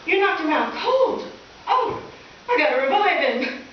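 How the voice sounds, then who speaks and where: A woman's voice: extremely high pitch, sing-song, measured pace, heavily acted. A woman playing a wry, exasperated schoolteacher with theatrical delivery in a comic live radio play. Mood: alarmed. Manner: dry sarcasm, theatrical alarm. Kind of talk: comic old-time radio play acting. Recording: echoey hall from far off, dull, hissy.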